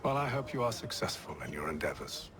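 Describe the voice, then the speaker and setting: A man playing a poised, courteous English gentleman in a video game, with an English accent and suave, formal manner. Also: low-pitched, very animated, fast. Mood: polite. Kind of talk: video game cutscene acting.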